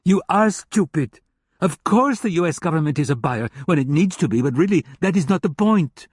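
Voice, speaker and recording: A man voicing an agitated German male hacker who rants with contempt, husky-voiced. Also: fairly high pitch, expressive, moderate pace, dry recording, studio quality, voice pushed up. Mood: scornful.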